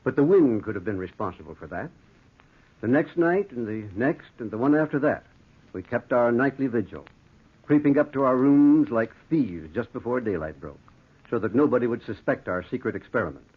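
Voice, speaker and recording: A man acting an earnest, excitable older doctor who narrates a ghost tale in old-time radio horror style, with dramatic, deliberate delivery. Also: medium pitch, husky, narrow, tinny audio. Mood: hushed suspense. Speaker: narrator and lead actor. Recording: thin, noisy vintage radio recording.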